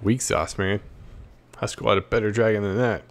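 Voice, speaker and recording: A man's voice: lowish voice, steady tone, moderate pace. A man giving casual, slangy gameplay commentary, cocky and playful with plenty of trash talk. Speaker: gaming video host.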